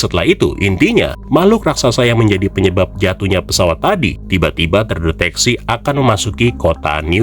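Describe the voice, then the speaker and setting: A man, narrating a review as host in a casual, scripted style. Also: lowish voice. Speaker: YouTube host and film reviewer.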